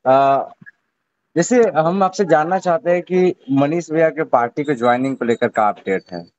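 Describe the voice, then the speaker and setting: Male phone interviewer, respectful but persistent. Slightly raised pitch, dry lo-fi call sound. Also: moderate pace, a little mellow, no room sound. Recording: muffled low-bandwidth phone call recording.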